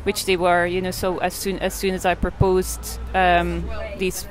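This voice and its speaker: Flat-voiced Belgian-born woman photographer. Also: fairly low pitch, unhurried, faint music behind. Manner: hesitant, many fillers and restarts. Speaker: artist and photographer. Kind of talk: casual interview answers.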